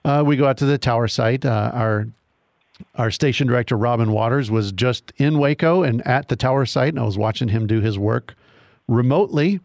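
A man's voice: medium pitch, a little fast, a little mellow, no echo. Man, easygoing radio chat, dry studio sound. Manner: easygoing, self-deprecating. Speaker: radio station staff, co-host.

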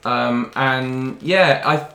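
A man with an average-pitched voice chats casually in an echoey room. He is self-deprecating and talks as a contestant in a relaxed group conversation. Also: very slow, gravelly. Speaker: craft challenge contestant. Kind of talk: casual chat. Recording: roomy, echoey recording.

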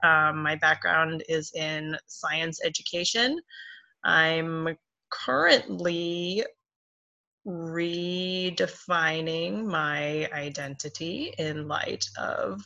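A woman from Ohio, a podcast guest, introducing herself in a slow, wry, joking way. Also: low voice, gravelly, bright, forceful tone, muffled recording. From Ohio, United States. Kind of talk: casual podcast chat.

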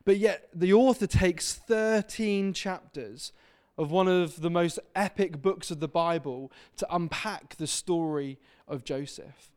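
A man's voice: high voice, unhurried, darkish tone, clear, resonant tone. A man in his thirties from Devon preaching a sermon in a church, chatty and self-deprecating. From England (Devon). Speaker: visiting preacher. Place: church hall.

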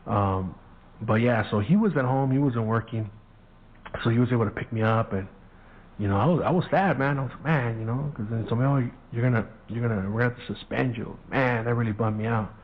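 A man's voice: mid-range voice, fairly quick. A middle-aged man spins a laid-back, profane story in a mid-range voice. He slips into the voices of the people he quotes. The sound is narrow and tinny, like a phone call. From Southern California. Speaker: podcast host. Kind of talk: casual storytelling monologue. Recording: phone-quality audio.

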